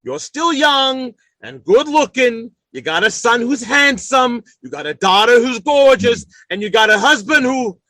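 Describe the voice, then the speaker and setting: Casting: male actor as blundering, big-hearted sitcom dad for old-time radio, high bright voice, folksy delivery. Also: animated, a little slow, hardly any pauses, no room sound, heavily acted, low-quality recording. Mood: cheerful, reassuring. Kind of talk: 1940s radio comedy acting.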